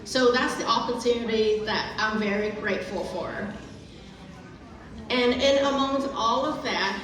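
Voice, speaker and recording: A woman's voice: a little high, measured pace, fairly bright tone. A middle-aged woman from Vietnam with a Vietnamese accent, giving an earnest, careful prepared speech at a community event with frequent restarts. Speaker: guest speaker. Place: large echoey event hall. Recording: echoey hall, voice from far off.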